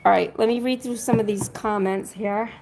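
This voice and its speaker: A woman hosting a casual livestream, her voice raspy from being sick, talking hesitantly with many ums while she walks through a half-packed apartment and reads viewer comments aloud. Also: medium pitch, fairly even intonation, darkish tone. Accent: New England. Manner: slow, walking through rooms listing items. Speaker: vlog host on a livestream. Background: road noise.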